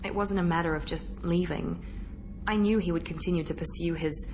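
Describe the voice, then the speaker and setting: A woman acting as a self-assured female operative in a sci-fi game, her voice on the low side. She speaks in measured, articulate phrases, proud and guarded, with music playing behind her. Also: fairly even intonation, natural voice. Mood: guarded, reflective. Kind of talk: video game dialogue acting. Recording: background music under the voice.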